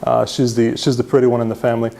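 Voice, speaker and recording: A man presents to a meetup crowd. He is self-deprecating and casual, often tags his points with a quick "right?" and restarts his sentences as he goes. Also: medium pitch, level tone, vocal fry, dark tone. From Serbia. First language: Hungarian. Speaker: presenter at user group meetup. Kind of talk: conference talk with slides.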